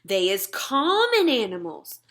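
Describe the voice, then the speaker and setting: A woman's voice: a little high, wildly swooping pitch, unhurried, fairly bright tone, exaggerated voice. A woman voicing a very old friendly giant in a children's storybook, declaiming theatrically and indignantly in garbled grammar. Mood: contemptuous. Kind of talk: children's storybook read-aloud.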